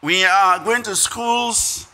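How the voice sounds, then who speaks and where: Older male preacher, Ghanaian accent, very sharp high voice, phrase-by-phrase conference address at a podium. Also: slow pace. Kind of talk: prepared speech read at a lectern. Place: conference hall stage. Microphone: podium microphones.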